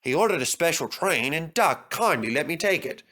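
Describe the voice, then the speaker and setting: A man's voice: on the high side, lively intonation, moderate pace, bright, forceful tone. A man voicing a polite, cheerful steam engine in a children's story, courteous and earnest. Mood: apologetic.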